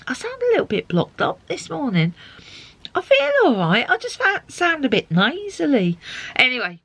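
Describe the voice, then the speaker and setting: A cheerful woman who narrates her days aboard a narrowboat, talking to the viewer like a friend. Her voice sits a little high and swings freely up and down as she goes. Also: a bit bright, no echo. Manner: upbeat, chatty, talks to camera. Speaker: vlog host. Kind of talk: chatty video diary. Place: narrowboat and outdoors.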